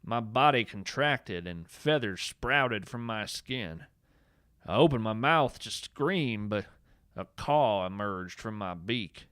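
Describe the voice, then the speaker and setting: Man narrating with lively, swooping pitch, dry sound. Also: takes it slowly, smooth, dry recording. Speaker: podcast host and narrator. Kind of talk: scripted fiction narration.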